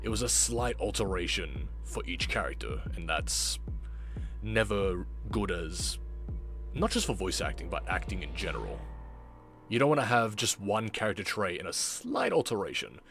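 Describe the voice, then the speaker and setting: Low-voiced man, deliberate and animated vlogger. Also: vocal fry, faint music behind. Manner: deliberate, dramatic pauses and emphatic repetition. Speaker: video creator, former amateur voice actor. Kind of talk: scripted reflective vlog narration.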